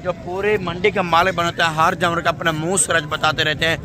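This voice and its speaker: High-pitched emphatic male market vlogger. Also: little pitch movement, a little fast, pushed and bright. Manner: emphatic, repetitive, addressing viewers as brother. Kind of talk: vlog addressed to camera. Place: open-air livestock market. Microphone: phone at arm's length. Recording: busy outdoor crowd noise.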